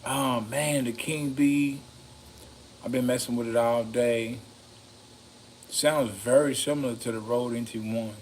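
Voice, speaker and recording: A raspy-voiced man rambling casually about microphones, slow and meandering, with many ums and a slightly loaded, loose delivery. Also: mid-range voice, fairly even intonation, slight background noise. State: a bit intoxicated. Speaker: home studio vlogger reviewing microphones. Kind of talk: rambling casual talk to camera.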